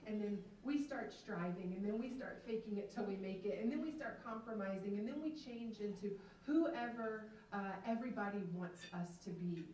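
A woman's voice: medium pitch, darkish tone, a little hiss or hum. A woman in her thirties from the American South giving an earnest faith testimony as keynote speaker, holding a handheld mic in a large banquet room. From Atlanta, US South. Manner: earnest, emphatic storytelling. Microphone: handheld microphone. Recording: echoey hall, from far off.

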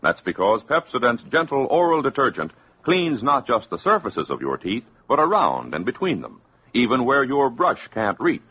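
Forceful American radio spokesman. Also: medium pitch, sing-song, normal speed, breathy edge. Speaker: commercial spokesman. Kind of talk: forceful 1950s radio advertising. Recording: muffled 1950s radio recording.

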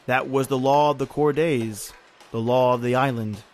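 A man's voice: medium pitch, fairly even intonation, normal speed, natural voice. A man playing a grim, bitter factory overseer with folksy speech in an old-time style radio horror drama. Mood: bitter, taunting. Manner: folksy, bitter, uses "aye" and "ain't". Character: grim, taunting male factory overseer on an island. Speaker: actor in audio horror drama.

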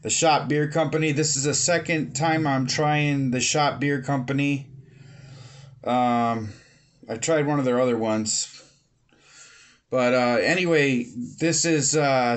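A man in his thirties casually reviewing a beer at home, relaxed and filling pauses with "um" and "uh", recorded on a webcam or phone at his desk. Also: on the high side. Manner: relaxed, many fillers and pauses. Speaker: beer reviewer, channel host. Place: home room with bookshelves, indoors.

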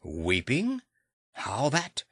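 A man's voice: medium pitch, wildly swooping pitch, drawn-out words, a bit bright, breathy edge, dry recording, clean studio sound, full character voice. A man voicing a grave, commanding Victorian lawyer in an audiobook, formal and decisive. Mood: troubled.

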